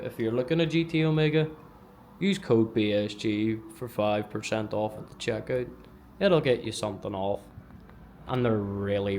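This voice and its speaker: A man's voice: mid-range voice, a little hiss or hum. A man with a Northern Irish accent giving a chatty, rambling vlog tour of an office, filmed on a handheld gimbal camera. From Northern Ireland. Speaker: gaming vlogger. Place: indoor office space.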